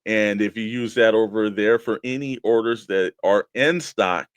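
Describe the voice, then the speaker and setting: A man with a fairly low voice speaks as a casual show co-host. His delivery is conversational, and the sound is dry and close. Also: moderate pace, clean tone, dry recording. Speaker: co-host of a toy collecting show.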